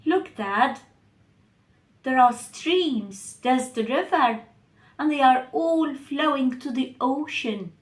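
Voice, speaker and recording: Woman doing eager young goddess girl, pleading, bright and high. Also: lively intonation, slow. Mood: earnest. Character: playful young goddess daughter Eva.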